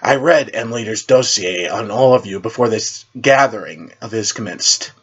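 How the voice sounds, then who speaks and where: A man's voice: on the low side, lively intonation, moderate pace, a bit bright, breathy edge. A man voicing a mysterious masked hero, polite, hesitant and dry, in an amateur superhero story. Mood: courteous. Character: mysterious masked shadow hero, courteous, awkward, male. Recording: dull lo-fi recording.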